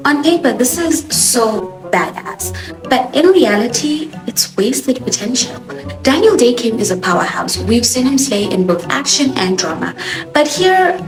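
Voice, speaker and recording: A young woman, a native Swahili speaker with a Johannesburg accent, giving a sassy, expressive TV show review as host. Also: on the high side, unhurried. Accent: South African (Johannesburg). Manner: expressive, sassy, animated emphasis. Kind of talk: casual opinionated review. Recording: echoey room with music behind.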